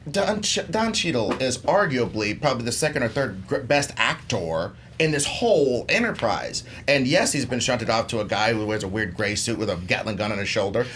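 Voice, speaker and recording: A man with an average-pitched voice makes an animated, persuasive case as a debate show contestant. He leans on repeated phrases and often restarts mid-sentence. Some noise sits behind his voice. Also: normal speed, few pauses. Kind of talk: animated persuasive argument about films.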